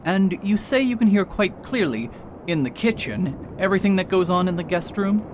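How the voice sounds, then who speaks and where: Radio mystery sleuth: self-assured male doctor played by a man, highish voice, very varied intonation, pompous, precise. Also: lively intonation, moderate pace, background noise. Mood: pleased, knowing. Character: pompous male doctor-detective, confident in his deductions. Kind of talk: radio drama acting.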